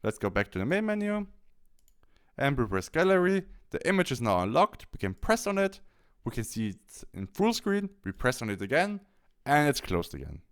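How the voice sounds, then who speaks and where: A man narrating a software tutorial, explaining things step by step. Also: medium pitch, very animated, moderate pace, clean tone. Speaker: tutorial host. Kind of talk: software tutorial narration over screen recording.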